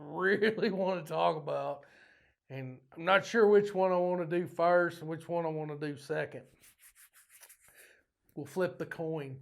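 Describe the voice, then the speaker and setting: Man, somewhat high voice, slightly hoarse, blunt rambling rant, casual podcast host. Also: fairly bright tone. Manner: ranting, blunt, self-deprecating.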